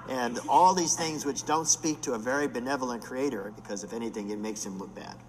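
A man's voice: medium pitch, fairly quick, big-room sound, slight background noise, low-quality recording. A man in his sixties, a paleontologist and geologist, arguing bluntly in a panel discussion. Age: 60s.

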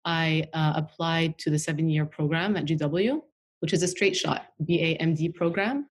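A woman's voice: deep voice, moderate pace. A woman from the US capital, a guest chatting in an interview, loose and talkative with lots of filler words. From Washington DC, United States. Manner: chatty, frequent "you know" and "like". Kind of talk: casual interview conversation.

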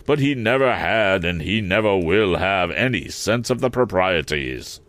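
Sanctimonious drawing-room gentleman, read by a male narrator; arch, deliberate delivery. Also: low voice, animated, no room sound. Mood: condescending. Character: smug, sanctimonious middle-aged gentleman. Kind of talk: audiobook character reading.

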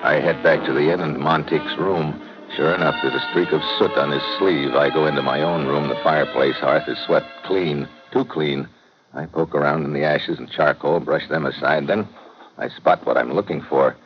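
A tough american detective, low voice, clipped n wry, old radio drama, muffled and noisy. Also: male voice, moderate pace, music in the background. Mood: tense narration. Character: tough, wisecracking male American investigator on dangerous assignments. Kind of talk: 1950s radio drama acting, hard-boiled narration.

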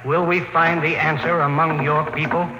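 An earnest young patrol linguist, played by a male actor, speaks in a fairly high voice with careful, polite deference. It is vintage radio drama, with music clearly heard behind him. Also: little pitch movement, normal speed, reverberant, natural voice, poor audio. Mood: questioning, patient. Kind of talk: old-time radio drama acting.

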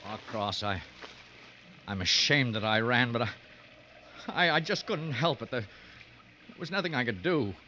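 An actor playing a nervous, eager novice trophy hunter in a mid-century radio drama, with a hesitant, excitable delivery. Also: male voice, mid-range voice, measured pace, long pauses. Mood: ashamed. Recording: dull old radio recording, noisy.

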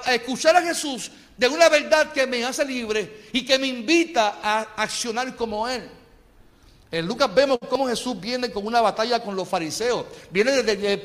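Brief: Puerto Rican male preacher, very high voice, fervent, call-and-response with the flock, long church reverb. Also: a bit bright. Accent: Puerto Rican Spanish. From Puerto Rico. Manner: impassioned, calls the congregation for amens. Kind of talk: sermon. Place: church sanctuary.

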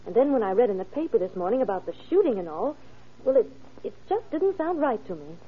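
Quick, lively, earnest secretary. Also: female voice, a little high, husky. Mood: certain, earnest. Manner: brisk, precise, concerned. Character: earnest, worried female secretary at a doctor's office. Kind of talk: old-time radio crime drama acting. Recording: dull vintage radio recording, faint noise.

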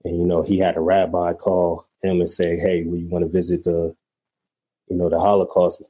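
A man's voice: low voice, little pitch movement, moderate pace, mellow, husky. A man calling in to a talk show, speaking casually and rambling with many fillers. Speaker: caller.

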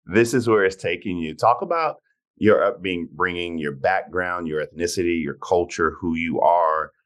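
Deep-voiced male podcast host. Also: normal speed, a bit bright. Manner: rambling, filler-heavy questions. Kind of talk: interview questions.

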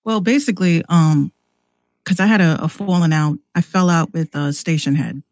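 An American woman chatting casually and candidly in a slangy, animated way, acting out exchanges as she tells stories. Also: deep voice, moderate pace, no room sound. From New York City, US. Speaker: podcast guest, rapper and radio show host. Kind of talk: casual conversational storytelling.

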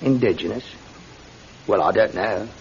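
An actor playing a blunt, eager working-class British landlord with a betting habit in a classic radio drama, speaking colloquially. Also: male voice, on the low side, a little slow. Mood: coaxing, affable. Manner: colloquial, uses "me" for "my". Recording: muffled radio recording with clear noise.

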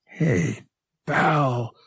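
A cornered young pilot acted by a male narrator pleads for a hearing. The voice jumps high and swings widely, anxious and babbling, in a dry recording. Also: sing-song, extremely slow, a bit bright, breathy edge, no echo, exaggerated voice. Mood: nervous pleading. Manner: gasping, babbling appeals. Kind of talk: audiobook character voice.

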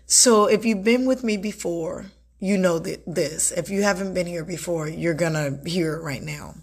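A woman hosting an unscripted self-help podcast, with a raspy voice that sounds a little strained from a recent illness, talking earnestly and repeating words for emphasis. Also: lowish voice, normal speed. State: recovering from illness, slightly strained voice. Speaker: podcast host.

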